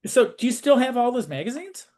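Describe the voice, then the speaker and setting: A man's voice: extremely high pitch, big pitch swings, a little fast, darkish tone. A man conducting a casual interview, rambling thoughtfully on the way to his questions.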